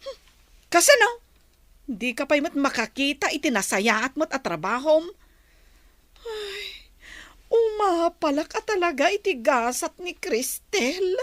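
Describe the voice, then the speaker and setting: Actress as a nosy jealous gossip, radio drama, very high voice swinging all over. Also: female voice, very animated, takes it slowly, long pauses, a bit bright, dry recording, full character voice. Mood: envious, whining. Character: envious, nosy, disbelieving gossiping woman.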